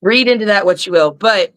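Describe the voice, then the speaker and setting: A middle-aged woman with a raspy voice, a wrestler guest chatting bluntly and crudely on a video call from her living room. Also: mid-range voice, a bit bright. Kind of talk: casual podcast chat.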